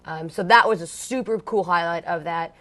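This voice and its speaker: A woman's voice: on the low side, normal speed, a bit bright. A young woman from Long Beach, California, a punk band singer telling her story in an interview, chatty and blunt, full of "like" and "um". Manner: blunt, slangy, swears casually.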